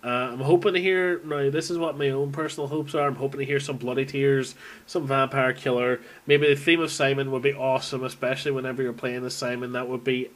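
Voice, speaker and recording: A man's voice: mid-range voice, steady tone, fairly quick, fairly bright tone. A man giving a casual, unscripted monologue as a fan, rambling and thinking aloud as he goes. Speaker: video game fan recording an audio log.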